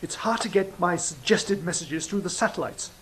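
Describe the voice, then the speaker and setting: A woman's voice: on the low side, normal speed, a little hiss or hum, close to an everyday voice. A woman playing a proud, sardonic trickster angel in an audio drama, dry and evasive. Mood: world-weary. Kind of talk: stage-style audio drama acting.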